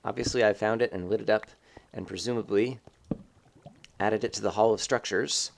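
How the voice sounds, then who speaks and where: Let's play host, man, lowish voice, slightly stuffy nose, relaxed meandering commentary with fillers. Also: normal speed, gravelly, a little hiss or hum. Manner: rambling, thinking aloud with many fillers. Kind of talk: casual gameplay commentary.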